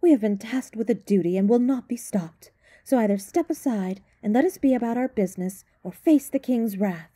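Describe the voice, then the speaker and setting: A woman playing a proud, stern young elf soldier with a husky, dark voice and a formal, commanding manner in an audio roleplay. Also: fairly high pitch, animated, normal speed, no echo, studio quality. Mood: stern, indignant.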